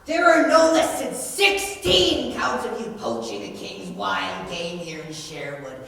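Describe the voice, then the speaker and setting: A young woman playing a pompous, chauvinistic male sheriff in a high school stage comedy, blustering and declaiming. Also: expressive, unhurried. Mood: outraged. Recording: echoey hall, distant mic, noisy.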